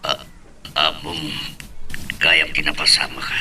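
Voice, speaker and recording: A man's voice: very deep voice, monotone, slow pace, sharp tone, breathy edge, faint music behind, exaggerated voice. A man playing a grave, protective, remorseful middle-aged father in an Ilocano drama. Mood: regretful. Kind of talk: Ilocano radio-style drama acting. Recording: distant mic, background noise.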